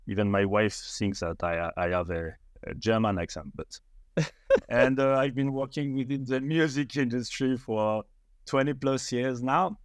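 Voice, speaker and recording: A man's voice: medium pitch, fairly even intonation, measured pace, clear, resonant tone, dry recording, clean studio sound. A middle-aged man from France with a French accent, a founder being interviewed, speaking hesitantly with many fillers and restarts. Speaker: startup founder, guest. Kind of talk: podcast interview conversation.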